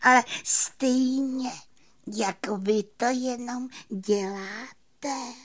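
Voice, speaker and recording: A woman voicing a sweet, admiring little fairy who speaks with gentle, earnest exclamations in a children's story. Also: on the high side, voice pushed up, natural voice. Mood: sighing admiration. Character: little female fairy, sweet, admiring, proud of her friends.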